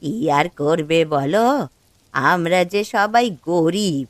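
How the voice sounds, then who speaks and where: A woman's voice: fairly low pitch, slow pace, no room sound. A woman voicing a concerned, plain-spoken village wife, fussing and soothing, in a cartoon. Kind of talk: cartoon dialogue acting.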